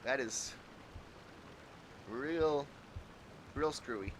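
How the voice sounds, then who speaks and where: A man chatting casually as he livestreams a game, halting and repeating his words, with mild exclamations. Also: mid-range voice, a little hiss or hum, rough recording. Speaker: game streamer. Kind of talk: casual livestream chat and commentary.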